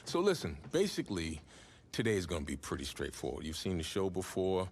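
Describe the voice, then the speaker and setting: An actor playing a jovial, chummy sports interview host in a game cutscene, with a joking, familiar manner and faint noise behind. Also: male voice, low-pitched, huge pitch swings, brisk, heavily acted. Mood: reassuring. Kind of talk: casual naturalistic game cutscene acting.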